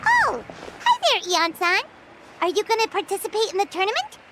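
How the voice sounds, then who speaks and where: Squeaky, extremely high-pitched fairy girl. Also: normal speed, very noisy, heavily acted. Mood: eager, curious. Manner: childlike, excitable, refers to herself in third person. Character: tiny floating fairy girl guide, perky, chatty, self-important.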